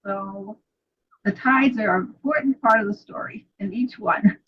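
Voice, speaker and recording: A woman's voice: mid-range voice, a little slow, a crowd in the background. An older woman from New York with a Brooklyn accent, an author chatting about her books over a video call. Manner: chatty storyteller, laughs often. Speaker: author guest. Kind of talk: casual interview chat. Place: home study with bookshelves. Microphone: video call webcam. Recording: dull lo-fi video call audio.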